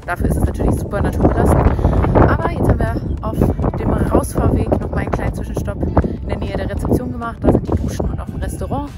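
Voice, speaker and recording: A woman's voice: mid-range voice, fast talker, loud music behind the voice, poor audio. A young woman chatting cheerfully to her phone camera outdoors on a windy travel vlog. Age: young adult. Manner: chatty, cheerful, talks to camera while walking. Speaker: travel vlogger. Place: outdoors in desert camp, windy. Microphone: phone camera at arm's length. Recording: loud wind noise outdoors.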